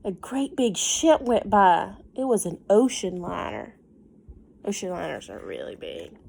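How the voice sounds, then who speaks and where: A woman's voice: on the high side, warm tone, breathy edge. A woman reading a picture book aloud to young children like a teacher, breaking off for casual asides, simple explanations and questions.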